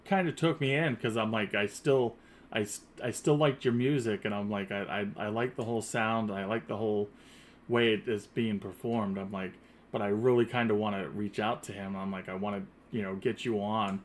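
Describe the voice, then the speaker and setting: A man's voice: lowish voice, steady tone, moderate pace. A man hosting a podcast interview, talking casually and rambling with many fillers and restarts. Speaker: podcast host. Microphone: earpiece headset.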